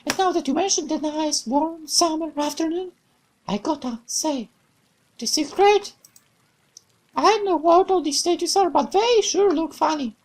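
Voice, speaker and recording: A woman's voice: high voice, measured pace, darkish tone, voice pushed up, poor audio. A woman voicing a bubbly, cheerful young woman companion in a visual novel, with a raised, playful, exclamatory voice. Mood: gentle.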